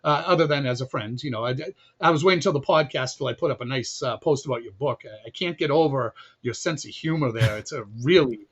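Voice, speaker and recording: A man's voice: mid-range voice, a little fast, husky. Chatty man on a dull lo-fi line. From Chicago, USA. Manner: chatty, frequent restarts and laughs. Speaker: podcast guest. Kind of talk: casual conversation. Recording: dull lo-fi audio.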